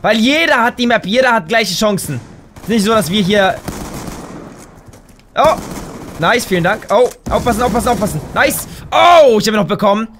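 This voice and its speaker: A young man gives excitable commentary over a headset while he plays, his voice very high and bright. He breaks into shouts when things go wrong. He sounds slightly hoarse and tired, and faint game gunfire sits under his voice. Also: moderate pace, pauses often, a little hiss or hum. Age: young adult. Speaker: gaming YouTuber. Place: home gaming room, indoors.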